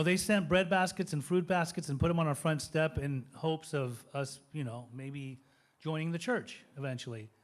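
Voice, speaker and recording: Calm man giving his recovery testimony. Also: on the high side. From Utah, USA. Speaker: guest speaker. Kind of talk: personal testimony to an audience. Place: church hall, speaking from a stage.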